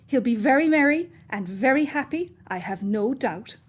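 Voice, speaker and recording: A woman playing a bossy, sharp-tongued working-class mother in a radio play. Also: sits high, animated, normal speed, slightly rough. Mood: scornful. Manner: bossy and scornful.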